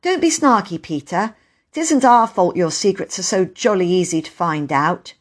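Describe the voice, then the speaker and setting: A woman voicing an earnest young girl in a storybook, a gentle, loyal sister who pleads and insists. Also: lowish voice, lively intonation, moderate pace. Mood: gentle, insistent. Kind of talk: storybook character voice.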